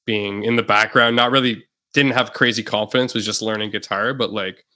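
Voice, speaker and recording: A man's voice: fairly low pitch, brisk. A young man, a musician guest on a podcast, chatting casually and loosely with lots of fillers. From Canada. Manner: relaxed, rambling with many fillers. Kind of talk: casual interview chat.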